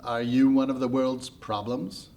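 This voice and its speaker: A stubborn, curious heroine of a storybook myth, put on by a male storyteller. She is blunt and insistent, and draws her words out slowly and deliberately. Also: medium pitch, little pitch movement, darkish tone, lo-fi sound, a little hiss or hum, close to an everyday voice. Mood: gently curious.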